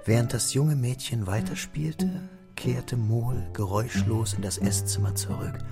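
A man's voice: mid-range voice, measured pace, gravelly. A man giving composed, scripted literary narration as the narrator of a radio drama. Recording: studio radio drama with music behind. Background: music.

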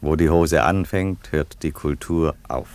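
An actor playing a wry, composed Roman emperor in a radio play, speaking with dry irony. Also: male voice, rumbling low, fairly even intonation, a little slow, a little mellow. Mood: polite, ironic. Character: wry, unhurried Roman emperor, husband and father. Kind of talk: German radio play acting.

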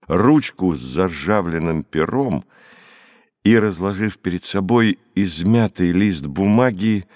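A man with a low voice narrates classic literary prose. His delivery is measured and expressive, and the recording is dry, as in a studio. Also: dry recording. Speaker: audiobook narrator.

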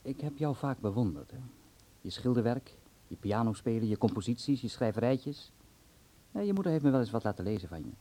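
An actor playing a middle-aged family doctor in a radio drama, concerned and paternal, steady and firmly admonishing. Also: male voice, medium pitch, a little fast, poor audio. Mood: fatherly.

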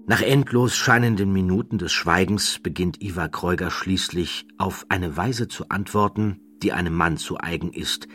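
A man reading a documentary audiobook in a measured, dramatic storytelling manner, building rhythmic lists. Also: deep voice, fairly bright tone. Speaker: audiobook narrator. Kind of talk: scripted documentary narration.

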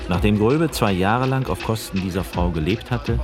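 A man's voice: on the low side, normal speed. A man narrating a scripted radio documentary with pointed irony. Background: music.

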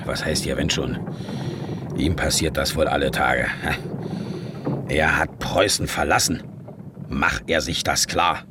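Very deep, gruff Prussian king. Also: steady tone, unhurried, pauses often. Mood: grumbling. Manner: curt, grumbling, rhetorical questions. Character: gruff, blustering Prussian king, stern father. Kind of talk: German radio drama acting. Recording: clearly noisy, rumbling vehicle ambience.

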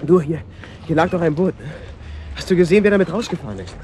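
A man's voice: a little high, sing-song, quick pace, darkish tone, a little hiss or hum. An actor in a German radio drama playing an agitated, blunt man who speaks with urgent insistence. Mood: urgent, calling. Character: agitated, blunt man searching for his missing boat.

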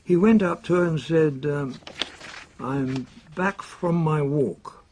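An actor with a British accent playing an earnest, proper official in a radio drama, brisk and formal in manner. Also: male voice, fairly high pitch, darkish tone, slight background noise. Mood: earnest, reporting. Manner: brisk, formal, old-fashioned British exclamations.

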